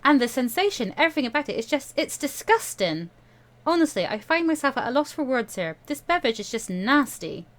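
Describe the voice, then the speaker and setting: A woman's voice: high voice, very animated, fairly quick, a bit bright, pitched above the performer's own voice. A woman voicing a squeamish, stubborn teenage student girl in a visual novel, dramatic and protesting. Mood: disgusted.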